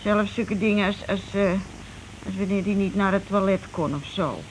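An actress playing a timid, flustered housewife in a Dutch radio play, halting and repeating her words. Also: medium pitch, close to an everyday voice. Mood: nervous confiding. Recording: noisy old radio play recording.

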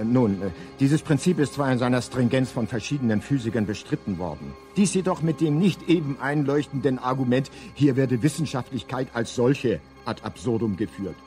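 A man of medium pitch acting as a galactic guidebook's narrator, with very lively intonation over faint music. He lectures in a wry, pompous, mock-scholarly way, as in a comic radio play. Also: moderate pace, hardly any pauses, lightly acted. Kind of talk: scripted comic science-fiction narration.

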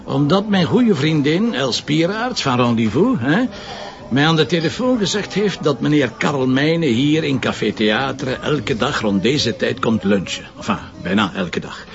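A man's voice: medium pitch, animated, a little slow, vocal fry, lo-fi sound. A man with a Flemish accent playing a jovial, cheeky, food-loving police commissioner in a radio crime drama, bantering colloquially. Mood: playful, insistent. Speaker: actor.

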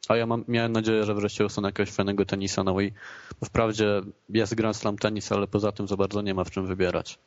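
A man's voice: on the low side, level tone, fast. A man hosting a casual video game podcast, with teasing banter. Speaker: podcast host. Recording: dull lo-fi voice recording.

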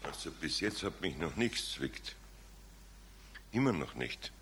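A man's voice: rumbling low, sing-song, slow, a bit bright, slight background noise, heavily acted. A man playing a kindly, patient older craftsman with a Bavarian accent and a homely, hesitant manner in a 1970s children's radio play. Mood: hesitant, worried.

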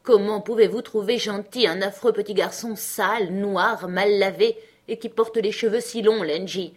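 A woman's voice: medium pitch, moderate pace, breathy edge, natural voice. A woman voicing a fussy, grumbling housemaid who scolds and exclaims, in a storybook reading. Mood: disdainful.

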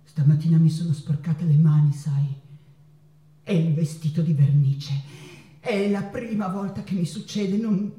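An actress playing an ailing, passionate woman painter in a theatrical monologue, halting and repeating her words with dramatic pauses. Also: sits low, dark tone. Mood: distraught, restless. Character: ailing, passionate woman painter, tormented yet playful, in love. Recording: roomy, distant stage pickup with guitar. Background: plucked guitar music.

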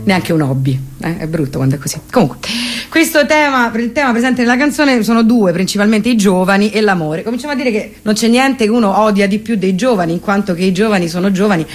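A woman's voice: medium pitch, animated, fast. A woman performing comic cabaret, satirical song and monologue in a theatrical, ironic, mock-solemn manner. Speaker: comic singer and stage performer. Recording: music behind the voice. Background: plucked guitar accompaniment.

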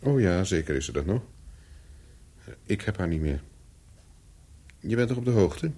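A low, husky-voiced man, nervous and remorseful, in a radio drama. He speaks earnestly and haltingly, like someone unsure how to begin. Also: lively intonation, mellow. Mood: subdued, bitter. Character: nervous, remorseful antique dealer seeking an old lover. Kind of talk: radio play acting, dialogue and inner monologue.

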